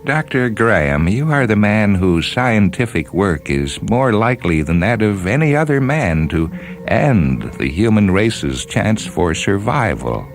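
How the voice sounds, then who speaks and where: A small, nondescript male stranger in an audio story, acted out by a male narrator, courteous and gravely earnest. The voice is lifted above a very deep register and speaks in measured phrases, with music behind it. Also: sing-song.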